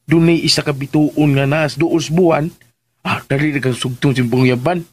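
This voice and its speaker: A woman playing a lovestruck, clumsy, excitable young suitor in a radio drama, melodramatically wooing with a Filipino Visayan accent. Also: sits low, moderate pace, husky. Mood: wooing, dreamy.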